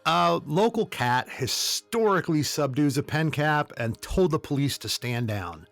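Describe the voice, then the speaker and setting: A man's voice: a little high, expressive, measured pace, creaky, a bit bright, no echo, soft background music. A man hosting a podcast, telling humorous stories in a casual, wry, tongue-in-cheek way. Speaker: podcast host. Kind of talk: casual humorous storytelling commentary.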